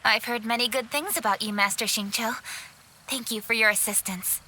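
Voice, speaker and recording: A woman's voice: fairly high pitch, fairly even intonation, moderate pace, sharp tone, a little hiss or hum, poor audio. An actress playing a stern, duty-bound young woman official in a video game, firm and composed.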